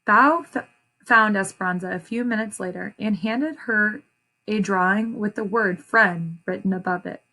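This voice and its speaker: A woman reading a children's story aloud as an educator, pausing to ask questions and explain things to young listeners. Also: mid-range voice, sharp tone, low-quality recording.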